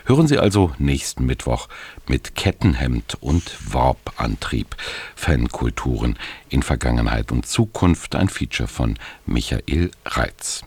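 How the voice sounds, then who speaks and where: A man with a gravelly voice reading a scripted programme preview as a radio announcer. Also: extremely low pitch, sing-song, measured pace, a little mellow.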